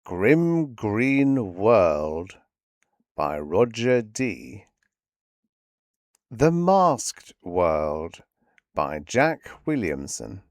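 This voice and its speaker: A man reading story titles and authors as scripted audiobook narration, in a deliberate announcing manner. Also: on the low side, sing-song, no room sound, studio quality.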